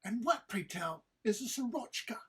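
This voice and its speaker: A woman performs a disdainful, scolding companion in an audio comedy. The husky voice is arch and formal, full of dry sarcasm and exasperation. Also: a little high, animated, normal speed, a bit bright, full character voice. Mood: suspicious, dry. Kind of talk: informal script read-through of audio comedy.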